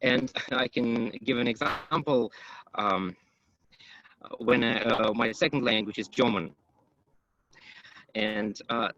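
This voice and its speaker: A man giving a conference talk as an English teacher, pausing often with ums and uhs. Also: medium pitch, gravelly, fairly bright tone, dry recording. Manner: hesitant, many ums and uhs. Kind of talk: conference presentation. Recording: dull, muffled, dry.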